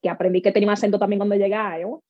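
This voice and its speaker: A woman's voice: mid-range voice, flat delivery, very fast, dry recording. A woman from the Dominican Republic with a Dominican accent, a guest in a conversational interview, telling her stories with animation and acting out the lines she quotes. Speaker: guest, speaker and workshop leader. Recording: slightly dull, dry sound.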